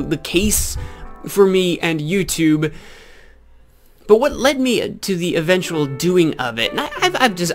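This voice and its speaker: Man in his twenties, Midwestern American accent, slightly high voice, rambling casual vlog chat, close desk mic, music behind. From Illinois, United States. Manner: rambling, self-correcting, gesturing. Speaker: YouTube gaming channel host. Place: small indoor room with green screen backdrop. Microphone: desk microphone with pop filter, close.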